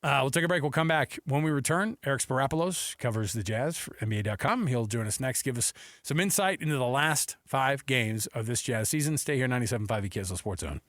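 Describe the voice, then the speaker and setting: A man's voice: medium pitch, expressive, fast talker, fairly bright tone. An American man hosting sports talk radio with casual banter, speaking into a close studio microphone.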